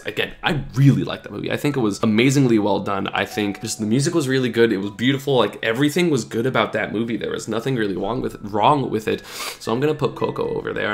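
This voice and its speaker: Gravelly young man, casual and rambling. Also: fairly low pitch. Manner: rambling, filler-heavy, chatty. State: sniffly, slightly sick. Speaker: gaming YouTuber. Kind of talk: casual opinion vlog.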